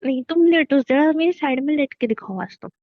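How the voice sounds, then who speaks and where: A woman's voice: high-pitched, fairly quick, natural voice. A woman playing an irritable, teasing pregnant wife who scolds and bosses her husband in a naturalistic audio drama. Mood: exasperated. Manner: scolding, bossy, playfully exasperated. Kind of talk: naturalistic Hindi audio drama of couple banter. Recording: dull lo-fi audio.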